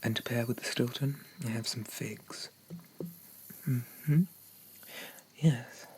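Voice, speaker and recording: A man playing a haughty, possessive demon prince in an ASMR roleplay, speaking formally and softly, often dropping into a whisper. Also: mid-range voice, fairly even intonation, slow, slightly rough. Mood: suave, indulgent. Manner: pompous, formal, softly condescending.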